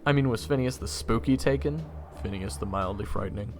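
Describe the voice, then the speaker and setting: A man playing a young army private in a fantasy audio roleplay, casual, halting and dryly sarcastic. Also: fairly low pitch, darkish tone, soft background music, lightly acted. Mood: teasing, incredulous. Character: young army private hero, unimpressed, cheeky and deadpan. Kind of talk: fantasy audio roleplay acting with first-person narration.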